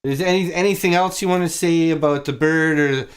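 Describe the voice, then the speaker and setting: A man's voice: a little high, steady tone, brisk, clean tone. A man hosting a comedic public-access call-in show, offhand and fumbling, often echoing what his callers say. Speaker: host.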